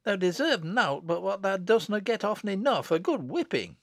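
A sour, scolding village mother from an audiobook tale, acted out by a man. The voice is pushed up high and swoops about in testy rustic dialect, harsh in temper and quick to chide. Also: very animated, dry recording, studio quality, heavily acted.

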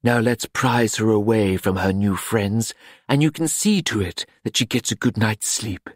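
A grand, theatrical fashion madam, played by a man, for a storybook. Delivery is languid, worldly and coaxing, pitched a little up, in a dry recording. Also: a little slow, no room sound. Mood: smooth.

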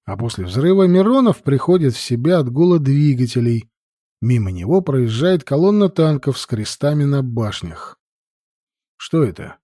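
A man's voice: mid-range voice, big pitch swings, normal speed, mellow, no echo, clean studio sound. A man reading a novel aloud as scripted audiobook narration.

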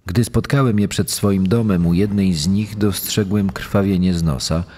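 Actor as deep, dark-voiced accused killer testifying. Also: male voice, normal speed. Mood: grave, confessing. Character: male accused child killer giving self-justifying court testimony. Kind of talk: dramatised testimony in true crime audio. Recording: long echoing hall reverb.

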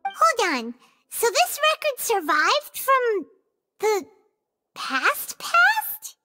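A woman voicing a tiny, excitable, childlike female fairy companion in a video game, with a squeaky voice. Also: drawn-out words, heavily acted. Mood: curious, puzzled. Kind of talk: video game character dialogue. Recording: loud music under the voice. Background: music.

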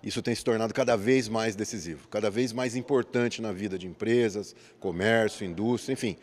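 A man in his forties, a guest business partner, giving measured interview answers with everyday examples into a handheld mic in a large indoor hall. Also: fairly low pitch, a little mellow. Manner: measured, explanatory, uses examples.

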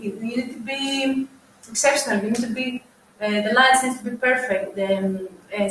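Bright-voiced woman on an echoey panel. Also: sits high, a little hiss or hum. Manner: rambling, restarts and fillers. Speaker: panel speaker, music manager. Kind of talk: panel discussion. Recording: very echoey distant live feed with faint ambience.

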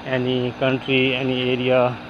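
A man with a Pakistani accent talking to viewers in a halting, hesitant way, in short broken phrases, as he vlogs outdoors on a walk. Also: medium pitch, monotone. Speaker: vlogger. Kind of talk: casual walk-and-talk monologue to viewers. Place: outdoors, walking on university grounds. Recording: muffled outdoor audio with clear noise.

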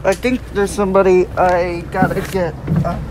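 An amateur performer voicing a friendly young tank engine for fan train shorts, with a halting delivery. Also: mid-range voice, level tone, background noise. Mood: thoughtful. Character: Diego, friendly young tank engine, branch line worker.

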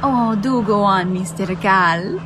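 A woman playing a seductive, teasing vampire in a radio drama, coy and flirtatious, with halting foreign phrasing. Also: mid-range voice, background noise, natural voice. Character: seductive, teasing female vampire, creature of the night. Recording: music behind the voice.

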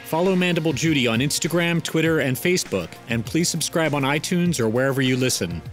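A man's voice: on the high side, expressive, fairly quick. Male podcast announcer over music. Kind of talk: scripted podcast credits.

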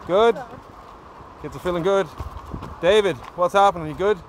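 A man's voice: high-pitched, moderate pace, slight background noise, poor audio. A man in his thirties with an Irish accent, a youth coach filming a casual vlog on a misty mountain trail with a phone at arm's length, upbeat and encouraging. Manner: upbeat, cheering kids on loudly. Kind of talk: casual vlog on a group hike. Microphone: phone camera held at arm's length.

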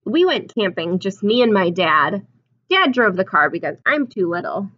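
A woman in her twenties reading a picture book aloud like a teacher, expressively, pausing to put simple questions and explanations to young children. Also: mid-range voice, big pitch swings, fairly bright tone, lo-fi sound. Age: 20s. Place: small classroom, indoors.

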